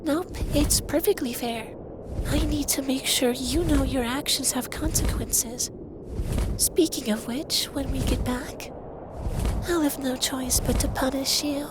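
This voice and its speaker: A man voicing an effeminate young dragon lover in an intimate ASMR roleplay, speaking softly and breathily, teasing and commanding yet doting. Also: very high-pitched, a little slow, very noisy. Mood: teasing, affectionate.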